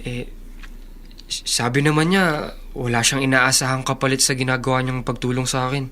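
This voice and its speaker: A man's voice: medium pitch, flat delivery, moderate pace, bright, forceful tone, natural voice. An actor playing a humble, grateful young male employee, deferential and hesitant, in a Tagalog radio drama. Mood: bashful, unsure.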